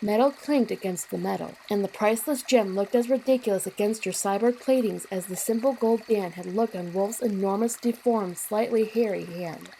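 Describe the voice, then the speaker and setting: A woman's voice: mid-range voice, normal speed, few pauses, mellow, poor audio. A woman reading scripted story narration as the narrator of an audio drama. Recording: clear noise with water sounds behind. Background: trickling water ambience.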